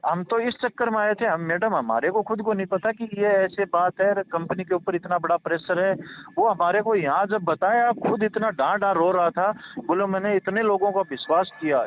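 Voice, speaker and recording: A man's voice: high voice, moderate pace. A man explaining and reassuring in a rambling, repetitive, earnest way during a casual phone conversation. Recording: tinny phone call with faint noise.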